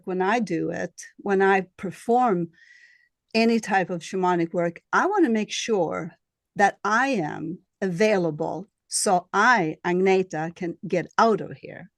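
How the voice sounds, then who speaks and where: A woman with a Swedish accent, a healer being interviewed, who speaks in a halting way and searches for her words. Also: lowish voice, dry recording, clean studio sound. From Sweden. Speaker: guest, shamanic healer and astrologer. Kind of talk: casual interview.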